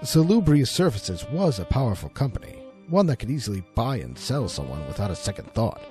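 A man's voice: medium pitch, sing-song, fairly quick, dark tone, soft background music. A man telling a scripted story as a dramatic, suspenseful, theatrical narrator.

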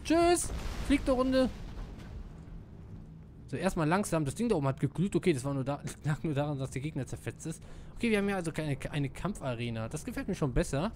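A man giving casual live gameplay commentary, excitable and slangy, dropping in English gamer words. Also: a little high, brisk, slight background noise. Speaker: let's player.

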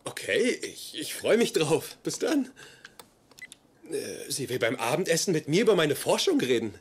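Sitcom dub: socially awkward young researcher, earnest, hesitant, animated pitch, noisy soundtrack. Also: male voice, normal speed. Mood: polite, nervous. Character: earnest, awkward young male physicist, easily miffed. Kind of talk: dubbed sitcom dialogue.

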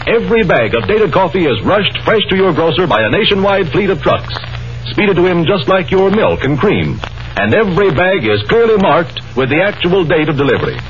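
A man's voice: sits high, sing-song, a little fast. An American man working as a radio announcer, reading a 1930s commercial in an emphatic, persuasive salesman delivery. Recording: muffled old 1930s radio broadcast.

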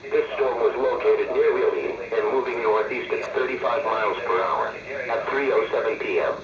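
A man's voice: mid-range voice, over a noisy crowd. Muffled synthetic man reading radio weather warnings. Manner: formal, synthetic measured reading. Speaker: automated weather radio announcer. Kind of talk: scripted emergency weather warning bulletin. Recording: muffled radio speaker, loud hiss.

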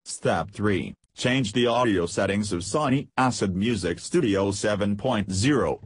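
A man with a fairly low, husky voice narrates a software tutorial. He reads scripted steps in a measured, instructional way. The sound is close and dry, with very little room to it. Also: no echo.

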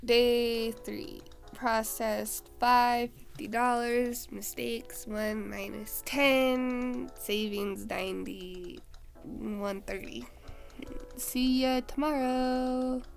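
A woman's voice: fairly high pitch, steady tone, drawn-out words, gravelly, faint music behind. A young adult woman giving casual let's-play commentary, chatting and muttering asides to herself. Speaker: gaming video creator.

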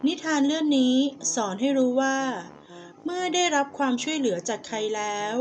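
A woman with a high voice reads a fable aloud in a calm, measured storybook manner. Faint music plays behind her.

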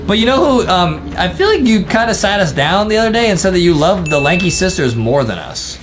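A man's voice: sits high, big pitch swings, brisk. A young American man giving casual, playful reaction commentary as a YouTube host, slangy and fond of repeating catchphrases. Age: young adult. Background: loud background music.

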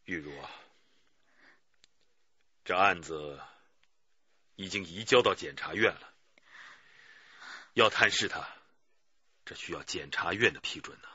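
An actor playing a grave, righteous middle-aged police chief in a radio drama, with a husky voice. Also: male voice, sits low, measured pace, pauses often, pushed and bright, no echo, lo-fi sound, full character voice. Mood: grave, pained. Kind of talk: Chinese radio drama acting.

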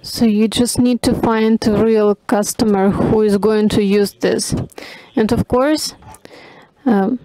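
A woman giving simultaneous interpretation of a panel discussion, halting, with many pauses and restarts. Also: medium pitch, warm tone, rough recording. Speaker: conference interpreter.

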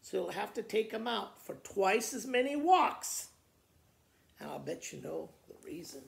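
A man in his sixties with a gravelly voice, reading children's poems aloud like a school principal to students, in a living room with the camera a little way off. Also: sits high, very animated, unhurried. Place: living room by a fireplace, indoors. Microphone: camera a short distance away. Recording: roomy indoor echo.

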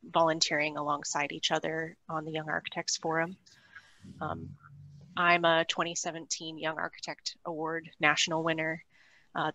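A woman speaks low and evenly, with little rise and fall, yet her tone is bright and a little sharp. She is soft-spoken and measured, and the sound is dry. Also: dry recording, poor audio. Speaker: panelist, architect. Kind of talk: video call panel talk.

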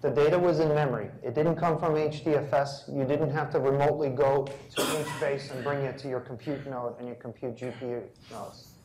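A man's voice: medium pitch, steady tone, fairly quick, a little mellow. A man co-presenting at a tech meetup, chiming in emphatically to stress key points. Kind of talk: live tech meetup talk. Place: large lecture room with audience. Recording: echoey hall.

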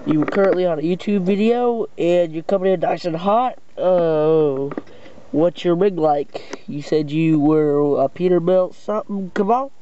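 A man making short, somewhat halting calls as an operator on CB radio. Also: high voice, warm tone. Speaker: CB radio operator, video maker. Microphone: camera mic beside a CB radio set. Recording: dull sound, clear radio static. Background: radio static and clicks.

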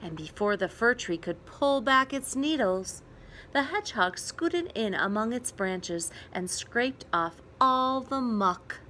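A woman reading a children's picture book aloud as storyteller, with expressive emphasis and dramatic pauses. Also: a little high, a little hiss or hum, low-quality recording.

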